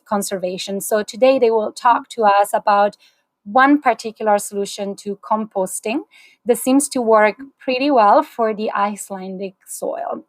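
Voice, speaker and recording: A woman's voice: mid-range voice, dry recording. Upbeat woman hosting a video call. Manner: warm, upbeat, with fillers and small restarts. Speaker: host. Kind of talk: livestream webinar hosting. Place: small indoor room, plain wall.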